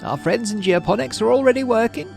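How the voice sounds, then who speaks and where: A stately, proud woman governor, played by a man in a thin, weedy voice pitched far up. She orates formally, as in a story game, with music playing behind her. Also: quick pace, gravelly. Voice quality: thin, weedy, high falsetto. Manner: stately speechmaking. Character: elderly-sounding weedy female colony governor, proud, formal orator.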